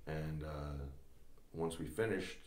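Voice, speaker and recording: A man in his thirties, a former soldier, telling stories in a relaxed interview, with many fillers and laughing as he goes. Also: very deep voice, slow pace. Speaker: podcast guest, former Green Beret. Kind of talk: casual interview storytelling. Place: small studio set at a table. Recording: echoey studio room.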